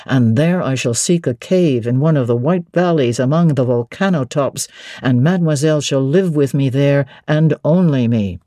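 A woman voicing a brooding, teasing middle-aged gentleman, commanding and whimsical, in a dramatic audiobook reading of a classic novel. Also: a bass voice, moderate pace, no room sound, clean studio sound. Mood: playfully fanciful. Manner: whimsical, authoritative, theatrical.

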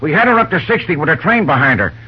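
A man's voice: fairly high pitch, very animated, fast talker, husky. An actor playing an eager, folksy, somewhat bossy railroad engine man with slangy rustic speech in old-time radio drama. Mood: keen. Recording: dull old radio recording, faint noise.

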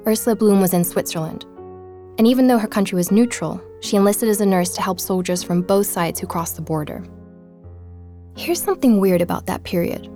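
Woman, acting, podcast journalist, measured storytelling, inquisitive, earnest, driven, audio drama, soft music behind. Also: lowish voice, close to an everyday voice. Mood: measured, informative. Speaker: podcast narrator. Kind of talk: scripted audio drama narration in podcast style.

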